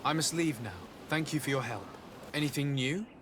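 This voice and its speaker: An actor playing a polite, measured investigating envoy in a fantasy video game, formal and composed. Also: male voice, medium pitch, animated, fairly bright tone, slight background noise, close to an everyday voice. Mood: polite, inquiring.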